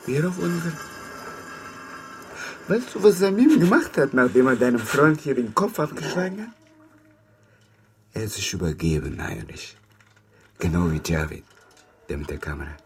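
Bereaved Afghan interpreter turned captor, embittered radio drama role, highly animated pitch, clearly noisy recording. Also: male voice, normal speed, pauses often. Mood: impassioned, accusing, then subdued, bitter. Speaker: former army interpreter.

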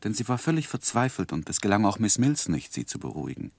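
An earnest young man in a vintage radio drama, his voice low and very expressive. He speaks tenderly, in careful literary phrasing. The recording is close and dry. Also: fast, no echo. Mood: reflective narrating. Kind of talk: 1960s German radio drama narration and acting.

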